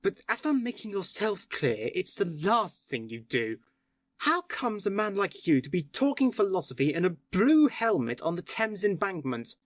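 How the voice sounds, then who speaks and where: A woman's voice: medium pitch, sing-song, brisk, hardly any pauses, dry recording, studio quality. A woman reading an audiobook voices an agitated, scornful shabby young man who speaks sharply. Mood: incredulous. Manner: sharp, heated outbursts. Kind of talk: audiobook character voice.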